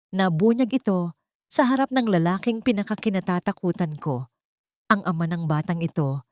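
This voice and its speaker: A woman narrating a scripted romance drama in an emotive, drawn-out storytelling manner. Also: lowish voice, no room sound, clean studio sound.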